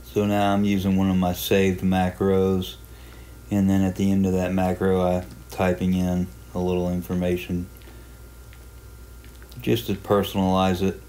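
A man giving a casual, unhurried tutorial narration, explaining a hobby software program step by step in plain terms. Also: sits low, monotone. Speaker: amateur radio hobbyist presenting a software walkthrough.

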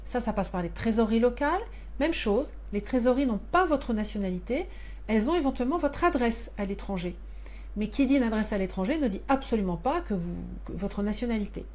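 A woman's voice: fairly high pitch, lively intonation, fairly quick, darkish tone. Earnest woman explaining from her office. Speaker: member of parliament addressing constituents abroad. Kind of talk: live-streamed monthly address. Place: small office with shelves of binders. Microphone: webcam or phone at desk.

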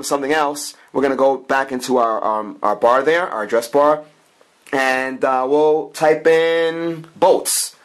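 Man giving a casual app demo. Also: fairly high pitch. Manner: talks in plural "we", informal, improvised and repetitive. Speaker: app reviewer, channel host. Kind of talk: casual hands-on product demo commentary.

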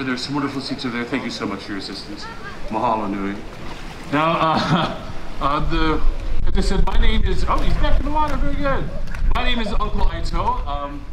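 A man telling stories live to guests in a playful, folksy showman's way, with dramatic pauses. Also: fairly high pitch, background noise. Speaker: resort storyteller. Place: outdoor poolside deck at a resort. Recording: echoey live outdoor sound, music behind. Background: musical instrument.

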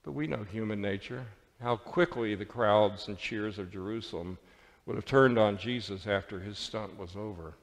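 Male preacher, lowish voice, measured dramatic sermon delivery, big church reverb. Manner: deliberate, dramatic phrasing with pauses. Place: large church sanctuary with congregation.

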